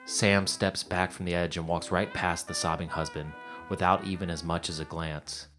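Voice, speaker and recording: A man's voice: sits low, level tone, normal speed, music in the background, lightly acted. An actor playing an eager, wisecracking young man in a 1950s period audio drama. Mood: dramatic, absorbed. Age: 20s. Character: eager, wisecracking young screenwriter and bellboy in 1950.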